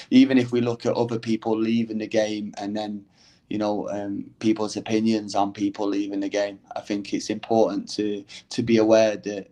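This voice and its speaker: A man with a Manchester accent and a slight Irish lilt, talking in a casual discussion, pausing often with ums and restarts. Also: lowish voice, dark tone. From England. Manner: hesitant, many ums and restarts.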